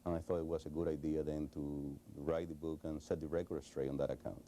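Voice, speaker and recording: A man's voice: very deep voice, little pitch movement, brisk, mellow, a little hiss or hum. A middle-aged man from Cuba with a Cuban Spanish accent, answering interview questions hesitantly with many fillers and restarts. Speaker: interview guest. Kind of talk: recorded television interview.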